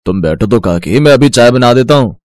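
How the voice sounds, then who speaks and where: A man voicing a respectful, earnest and excitable young village farmer in a storybook tale. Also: medium pitch, fairly quick, dry recording, natural voice. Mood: warm.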